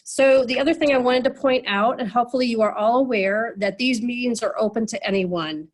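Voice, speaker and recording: A woman with a fairly high voice runs an online meeting, nasal from a cold. She talks in a chatty way, with plenty of fillers and restarts, over a video call. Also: a little fast. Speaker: meeting moderator.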